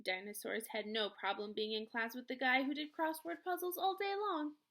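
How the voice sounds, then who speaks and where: A woman's voice: high voice, moderate pace, fairly bright tone. A woman voicing an evasive, wry eighth-grade boy in a children's novel, hesitant and defensive. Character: evasive, sardonic eighth-grade boy narrating his life. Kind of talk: children's novel read-aloud.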